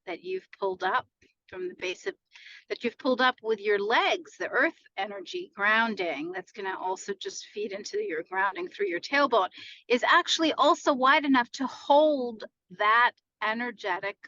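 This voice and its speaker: A woman leading an unscripted guided meditation in a soothing, unhurried, gently instructing manner. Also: on the high side. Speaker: meditation leader. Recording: echoey room, slightly dull lo-fi sound.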